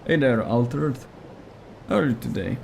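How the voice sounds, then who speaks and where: A man with a Czech accent whose native language is Czech, streaming a game live and chatting with viewers, often pausing to search for English words. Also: mid-range voice, expressive, gravelly, a little mellow, a little hiss or hum. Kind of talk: casual live gameplay stream, answering chat.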